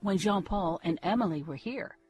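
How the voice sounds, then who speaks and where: A woman's voice: medium pitch, moderate pace, a little mellow, breathy edge, faint music behind, close to an everyday voice. A woman playing a proud but anxious middle-aged mother in a gothic audio drama, genteel and placating. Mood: genteel pride.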